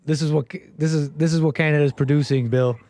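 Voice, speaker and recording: A man's voice: a little high, steady tone, fast talker, darkish tone, no echo. A man hosting a call-in show, talking casually and animatedly, often laughing. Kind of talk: casual live-stream talk.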